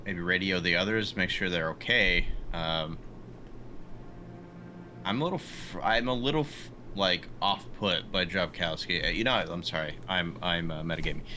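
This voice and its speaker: Deep, bright-voiced man, halting delivery. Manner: hesitant, halting. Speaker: tabletop game player. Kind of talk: casual in-game chat. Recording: clear background noise.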